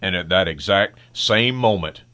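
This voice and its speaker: A man's voice: low voice, moderate pace, sharp tone, smooth. A man giving dramatic, scripted narration as the narrator of an old-style superhero radio drama.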